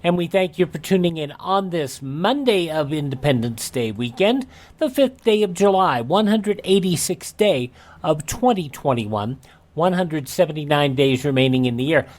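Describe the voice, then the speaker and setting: Lively American male radio host. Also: a little high, moderate pace. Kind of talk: presenting a this-day-in-history segment.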